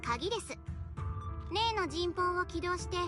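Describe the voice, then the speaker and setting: A woman voicing a sharp, wry young heroine in a Japanese video game. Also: very high-pitched, expressive, brisk. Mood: intent, serious. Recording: game audio under loud music.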